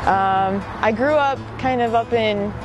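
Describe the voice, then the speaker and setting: A woman's voice: fairly high pitch, slow, muffled recording, music in the background. A woman from Utah with an American accent, answering casually in an interview. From Utah, USA.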